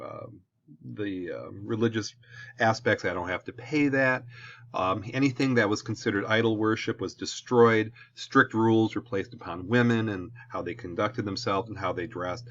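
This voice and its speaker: A man with a Midwestern American accent, lecturing on history as a teacher in a relaxed classroom-video way, often checking in with "okay?". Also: on the low side, muffled recording.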